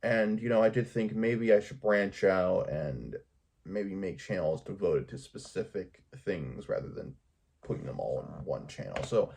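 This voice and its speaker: A man talking casually to his viewers in a personal vlog, hesitant and halting, often repeating his words. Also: sits low, gravelly, a little mellow, slightly rough. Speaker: video creator talking to viewers.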